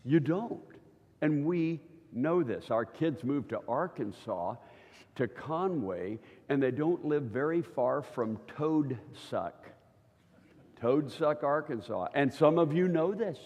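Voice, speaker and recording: A man's voice: a little high, animated, slow, darkish tone, muffled recording. A man in his sixties teaching at church in a conversational way, often checking in with his listeners. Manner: chatty, keeps checking in with listeners. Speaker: preacher. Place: church hall. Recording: long reverb of a church hall.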